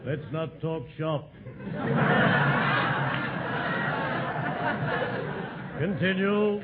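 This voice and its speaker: Through the hiss of a thin old radio recording comes a man acting an unctuous undertaker, his voice a little high for a man. He draws out formal phrases with solemn, deadpan, ghoulish cheer, as in 1940s radio comedy. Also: narrow, tinny audio, lightly acted. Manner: formal, drawn-out, deadpan delivery.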